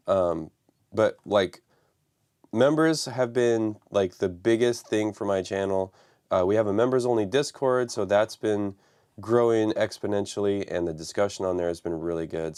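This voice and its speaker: A man hosting a live stream from his home studio, raspy from the flu and talking loosely with many fillers. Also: on the low side, measured pace, darkish tone. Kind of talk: casual live stream talk.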